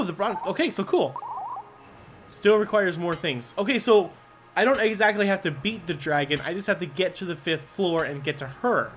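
A young man chats through his own gameplay in a slightly high voice, quick to swear and to get excited. He reads the on-screen lines aloud as he goes. Game music plays clearly underneath him. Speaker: gaming video creator. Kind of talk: casual gameplay commentary.

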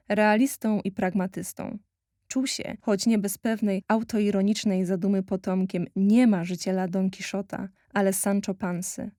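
A woman reading scripted documentary narration for an audio play in a measured, literary way. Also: medium pitch, warm tone, no room sound, studio quality.

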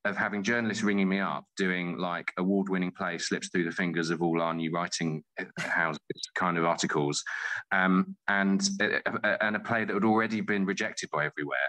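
A man in his forties talking casually as an interview guest, halting and often interrupting himself. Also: low-pitched, fairly even intonation, pushed and bright, low-quality recording. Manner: halting, self-interrupting, informal. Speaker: guest, playwright.

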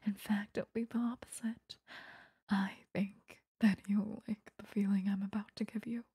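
Obsessive vampire seductress acted by a woman for intimate ASMR roleplay: very breathy whisper, dark and soft, menacingly possessive. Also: medium pitch, level tone, no room sound, clean studio sound. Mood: hungry, possessive. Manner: slow, coaxing, intimate. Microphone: close microphone.

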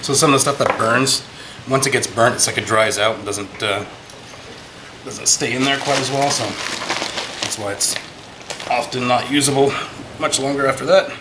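A man's voice: mid-range voice, fairly even intonation, normal speed, pauses often, background noise. A man with a sniffle, casually talking through his work at a workshop bench, self-deprecating and thinking aloud. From United States. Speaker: metal scrapping YouTuber. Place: indoor workbench in a workshop.

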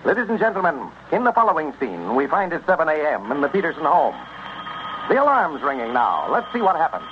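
A man's voice: a little high, big pitch swings, a little fast. A man announcing in the style of an old-time radio program. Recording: muffled, noisy old radio recording.

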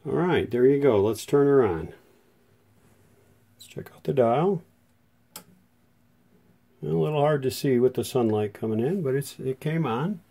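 Folksy American man with a gravelly edge. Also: medium pitch, expressive, measured pace, pauses often, warm tone. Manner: folksy, informal. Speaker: radio restorer hosting a demo video. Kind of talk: casual product demonstration. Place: indoor workshop room.

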